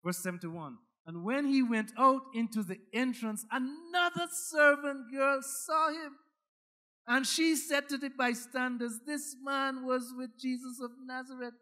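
A Guyanese pastor delivers a sermon in a very high-pitched voice for a man. His tone is bright and forceful, and he preaches dramatically, leaning on repeated phrases to drive each point. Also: steady tone, measured pace.

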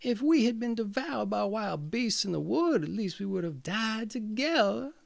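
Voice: mid-range voice, big pitch swings, moderate pace, gravelly, no echo, voice pushed up. A reader voicing a frightened, tearful little girl in a read-aloud fairy tale, speaking in a pleading way.

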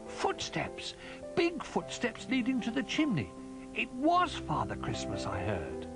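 An eager little bear cub from a storybook. The voice is high and swoops about with childlike earnestness. It exclaims with wide-eyed wonder over clearly audible music. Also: male voice, big pitch swings, moderate pace. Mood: excited.

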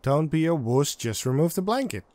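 A man's voice: on the high side, sing-song, normal speed, mellow, dry recording. A man doing casual let's play commentary, reacting and reading game dialogue aloud.

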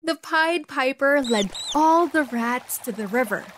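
A woman's voice: high voice, expressive, unhurried, over music. A woman narrating a scripted bedtime story for children.

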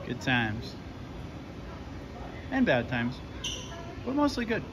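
A man's voice: mid-range voice, big pitch swings, slow pace. Dude in his 30s walking a mall with his phone cam, sarcastic and blunt, lots of noise around. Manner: sarcastic, blunt, laid-back quips. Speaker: vlogger. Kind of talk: casual walking vlog. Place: indoor shopping mall. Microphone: handheld camera at arm's length. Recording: noisy mall ambience.

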